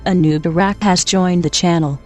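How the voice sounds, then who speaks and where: A man's voice: high voice, steady tone, normal speed, soft background music, natural voice. A man voicing an automated chat server announcer, giving short formulaic notices in a comic radio play. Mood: neutral.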